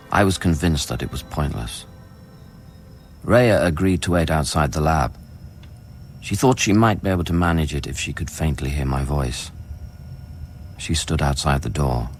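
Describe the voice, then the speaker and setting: Anguished scientist, deep voice, terse, guilt-ridden, radio play narration, music behind. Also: male voice, normal speed, pauses often. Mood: sombre, grieving. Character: anguished, guilt-ridden male scientist haunted by lost love. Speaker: lead actor and narrator. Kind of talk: radio drama acting with first-person narration.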